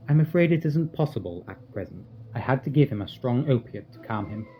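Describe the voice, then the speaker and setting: A grave, formal Victorian doctor, acted by a man for radio drama. His middle-pitched voice is measured and clinical. The recording is slightly dull, with faint noise. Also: expressive, lightly acted. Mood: grave, troubled. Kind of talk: radio drama acting and narration.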